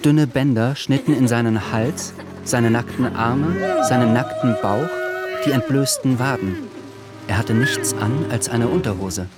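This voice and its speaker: A man narrating a literary radio drama in a measured, sober way. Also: mid-range voice, over loud music.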